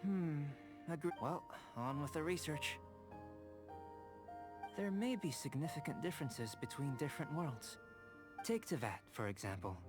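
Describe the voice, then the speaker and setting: An actor playing a calm, curious, polite young male researcher in a video game, speaking in a measured, articulate, formal way. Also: on the high side. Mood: curious, composed. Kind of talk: video game character acting. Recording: studio voice over game music. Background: game music.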